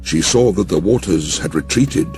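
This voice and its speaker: A man giving dramatized audiobook narration in a solemn, measured, theatrical way. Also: low-pitched, animated, music in the background.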